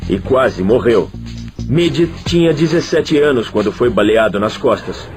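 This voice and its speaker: A man giving scripted documentary narration in an even, measured delivery. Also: medium pitch, faint music behind.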